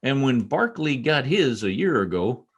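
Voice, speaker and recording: Male actor as devoted young trooper, raspy, medium-pitched, swooping delivery, earnest, old-time radio drama, video call recording. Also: very animated, measured pace. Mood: grave, concerned. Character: earnest, protective young state trooper and sweetheart.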